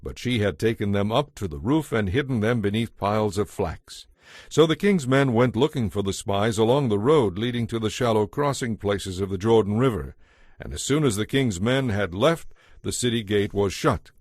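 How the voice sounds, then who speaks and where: Male scripture narrator: deeper register, solemn and measured, close dry recording, storytelling delivery. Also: expressive, darkish tone, no room sound. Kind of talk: scripted audio Bible narration.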